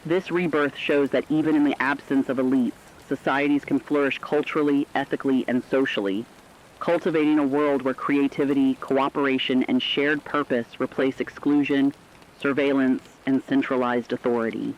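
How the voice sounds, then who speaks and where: A man playing an earnest radio news correspondent, reading scripted reports in a formal, measured way. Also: medium pitch, steady tone, muffled recording, natural voice.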